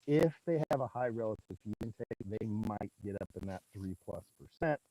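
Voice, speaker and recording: Rancher guy with a big booming voice, low-ish, chatting to his stream out in the field, hat mic, dry sound. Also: expressive, fairly quick, dark tone, dry recording, low-quality recording. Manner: casual, folksy, explains step by step. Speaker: rancher teaching grazing. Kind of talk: livestream teaching talk, chatting with viewers. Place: outdoors in a pasture among cattle. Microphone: small mic clipped to his hat.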